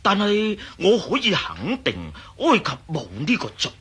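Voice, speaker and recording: A man playing an absent-minded, scholarly professor in a radio drama, hesitant and muttering, with a raspy, husky voice. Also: high voice, wildly swooping pitch, a little slow, a bit bright, exaggerated voice. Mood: thoughtful. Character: absent-minded, scholarly male Egyptology professor, easily excited. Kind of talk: Cantonese radio drama acting.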